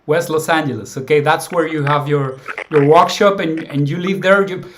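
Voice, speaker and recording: A man's voice: fairly high pitch, a bit bright, slight background noise. A man hosting a casual interview, often restarting and repeating words as he frames his questions. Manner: halting, repeats words while framing questions. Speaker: interview host.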